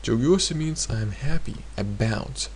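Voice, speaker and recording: A man teaching a grammar lesson in a hesitant way, pausing often and repeating each example sentence. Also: mid-range voice, expressive, gravelly, darkish tone, a little hiss or hum. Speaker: language teacher.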